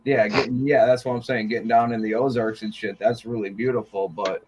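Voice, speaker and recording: Male co-host, profane, rambling, mid-pitched, casual banter. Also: fairly even intonation, fairly quick, noisy background. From Iowa. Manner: profane, rambling, repeats "I mean". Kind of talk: casual chat.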